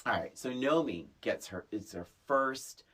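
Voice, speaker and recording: A woman's voice: rumbling low, measured pace, husky. A woman co-hosting a podcast, telling stories about a movie in a blunt, emphatic, casual comedic way. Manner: blunt, emphatic, expressive storytelling. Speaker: podcast co-host.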